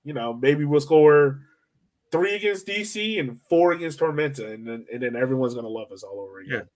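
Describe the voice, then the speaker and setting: A man's voice: medium pitch, expressive, brisk. An American man co-hosting a sports podcast in a casual, slangy chat style, breaking down players and performances. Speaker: podcast co-host.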